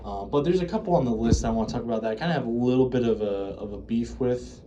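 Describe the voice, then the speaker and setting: A man's voice: medium pitch, fast, lo-fi sound, background noise. An American man giving casual, unscripted commentary in a rambling, hesitant, self-correcting way. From United States. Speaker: video creator reviewing horror series.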